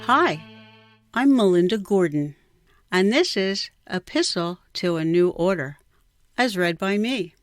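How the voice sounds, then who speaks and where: Slow, measured woman reciting poetry. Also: medium pitch, expressive, no room sound, faint music behind. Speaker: poet reading own work.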